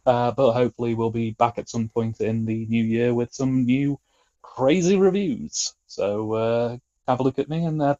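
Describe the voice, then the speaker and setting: A man's voice: medium pitch, warm tone, muffled recording. A British man chatting casually as a podcast guest, with a wry, colloquial way of talking. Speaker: podcast guest, film reviewer.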